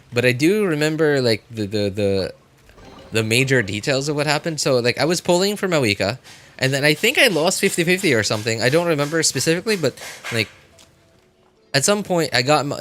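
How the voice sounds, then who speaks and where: A man chatting casually to viewers as a game livestreamer, rambling and often repeating himself in a halting way. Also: medium pitch, fairly bright tone.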